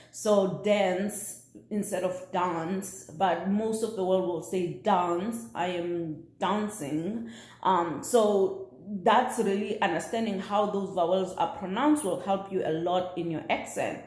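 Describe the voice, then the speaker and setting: A woman's voice: mid-range voice, darkish tone. A South African woman whose first language is Xhosa, chatting casually to camera as a vlogger, with lots of fillers and restarts. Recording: roomy echo.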